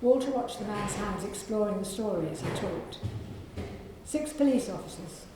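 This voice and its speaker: A woman with a soft, croaky voice, an author reading aloud from her novel to an audience in a library. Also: mid-range voice, expressive, very echoey, noisy background. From England. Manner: measured reading with clearing of the throat.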